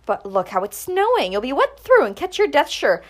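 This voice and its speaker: A woman's voice: high voice, wildly swooping pitch, normal speed, pitched above the performer's own voice. A woman voicing a fussy, scolding older country mother who exclaims in rustic dialect. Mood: alarmed scolding.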